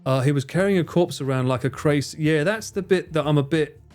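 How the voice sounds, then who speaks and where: A young man hosting a live stream, cracking crude jokes and talking to his chat between bursts of laughter. His voice sits a little higher than most men's, with faint music playing underneath. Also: a little fast, a little mellow. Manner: sweary, jokey, talks to chat and laughs often. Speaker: livestreamer.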